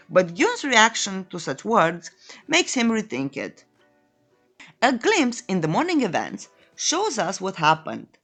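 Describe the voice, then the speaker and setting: A woman's voice: mid-range voice, fairly bright tone. A woman narrating a scripted comedic story recap in a playful, tongue-in-cheek, theatrical storytelling manner. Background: background music.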